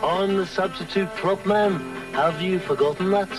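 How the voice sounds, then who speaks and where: A man playing a glum, good-natured young musician in a 1960s cartoon, with a nasal, deadpan drawl. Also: high voice, moderate pace, recorded from a distance, lightly acted. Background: loud music.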